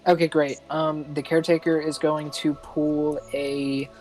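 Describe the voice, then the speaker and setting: A man playing a tabletop roleplaying game, haltingly thinking aloud about his character's move in casual talk. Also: a little high, level tone, darkish tone, a little hiss or hum, low-quality recording. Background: music.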